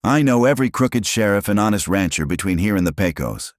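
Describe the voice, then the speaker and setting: A man voicing a weathered, wry male marshal in a slow drawl with a gravelly edge. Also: lowish voice, expressive, no room sound, clean studio sound, pitched above the performer's own voice, close to an everyday voice. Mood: steady.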